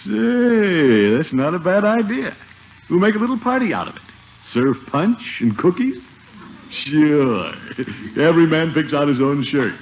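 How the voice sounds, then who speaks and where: Brief: pompous, soft-hearted middle-aged uncle, swooping theatrical delivery, dull old radio comedy recording. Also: male voice, a little high, sing-song, unhurried, a little hiss or hum. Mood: jovial. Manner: blustery, theatrical, exclamatory. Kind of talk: 1950s radio sitcom acting.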